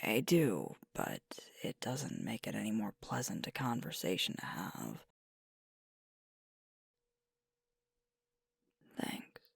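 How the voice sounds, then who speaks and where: A woman playing a sweet, weary werewolf girlfriend in an ASMR roleplay, confiding softly and haltingly in a breathy voice. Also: extremely low pitch, no room sound, clean studio sound, exaggerated voice. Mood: uneasy, confiding. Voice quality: soft, breathy, whispery. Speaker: ASMR voice actor. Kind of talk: ASMR roleplay, intimate one-sided conversation.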